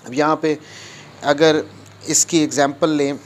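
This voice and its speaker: A man with a Pakistani accent giving an explanatory law lecture, switching into English legal terms, with didactic repetition and deliberate reading of clauses. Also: fairly high pitch, little pitch movement, a little hiss or hum.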